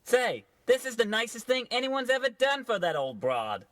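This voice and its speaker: A man's voice: extremely high pitch, huge pitch swings, moderate pace, a bit bright, no room sound, heavily acted. A man voicing a polite, eager, wide-eyed errand boy in a parody of old radio drama.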